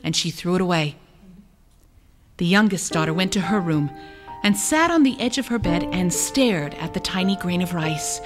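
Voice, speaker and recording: A woman telling a children's fairy tale in an expressive, deliberate, dramatic storytelling manner. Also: fairly low pitch, music in the background. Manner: dramatic, deliberate, acts out characters.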